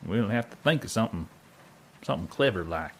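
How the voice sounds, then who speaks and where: An actor playing an earnest, folksy cowboy drifter with a husky American Old West drawl in a western comedy audio drama. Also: male voice, on the low side, lively intonation, fairly quick, a little hiss or hum. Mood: pondering. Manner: folksy, rustic grammar, colorful exclamations. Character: earnest cowboy drifter Lionel, excitable, resolved to do right.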